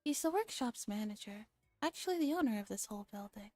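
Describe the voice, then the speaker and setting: A woman streamer with a soft, breathy, often whispering voice, reading a story and chatting casually in a relaxed ASMR style. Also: mid-range voice, quick pace, dry recording, faint music behind. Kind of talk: ASMR visual novel read-aloud with casual chat.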